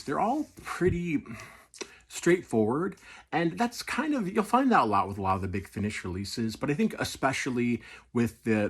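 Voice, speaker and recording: A man's voice: medium pitch, slightly rough. A middle-aged man giving an enthusiastic, conversational, casual review.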